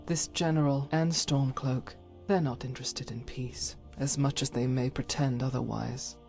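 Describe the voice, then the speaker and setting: A woman's voice: extremely low pitch, steady tone, measured pace, vocal fry, darkish tone, soft background music, full character voice. A woman playing a devout, stern warrior companion in a fantasy game, speaking solemnly in formal, archaic phrases. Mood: exasperated.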